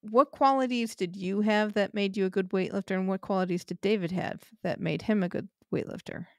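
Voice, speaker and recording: Gravelly woman asking questions, dry sound. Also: mid-range voice, fairly even intonation, brisk, darkish tone, no echo. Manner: brief, direct questions. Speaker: podcast host. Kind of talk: interview questions.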